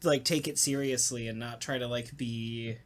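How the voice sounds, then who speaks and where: A man, a voice actor, chatting casually and jokingly in a halting, rambling way. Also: medium pitch, steady tone. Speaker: voice actor on a podcast talkback. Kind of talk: casual chat.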